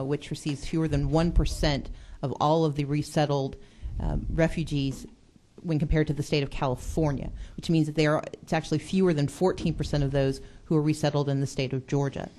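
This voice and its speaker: A woman's voice: low-pitched, steady tone, brisk, dark tone, slight background noise. A woman legislator giving an earnest, persuasive speech in a committee hearing. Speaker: state legislator.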